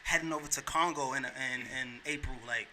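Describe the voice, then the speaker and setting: A man's voice: medium pitch, fairly even intonation, a little fast, pushed and bright, a little hiss or hum. Earnest slangy man in his thirties. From New York. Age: 30s. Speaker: podcast guest. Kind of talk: casual chat.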